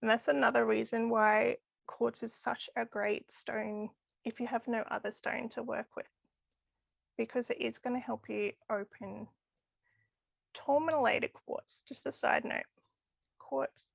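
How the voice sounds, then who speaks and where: Brief: woman guest, chatty and enthusiastic, voice going hoarse, gravelly fry, dry close sound. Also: a little high, steady tone, a little slow, no room sound. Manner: enthusiastic, chatty with frequent laughs. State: losing her voice. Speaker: crystal healing guest. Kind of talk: casual podcast interview.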